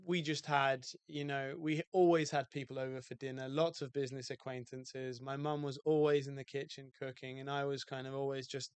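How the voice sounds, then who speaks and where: A man with a British accent talks through his story in a relaxed, reflective way, often pausing on fillers and restarting. His pitch stays flat and even, and his voice is smooth and clear in a dry room. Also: dry recording. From UK, outside London. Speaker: guest, hotel owner. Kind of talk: casual podcast interview.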